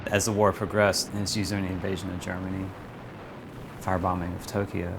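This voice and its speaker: A man explaining history in a measured way, as in a documentary interview. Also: sits low, little pitch movement, noisy background. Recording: music behind the voice. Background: plucked guitar music.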